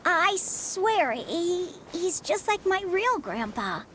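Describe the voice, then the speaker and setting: A woman's voice: very high-pitched, big pitch swings, slow pace, a bit bright, slight background noise, full character voice. A woman voicing a timid, earnest young village child in a video game, with a hesitant way of talking. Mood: earnest, defensive.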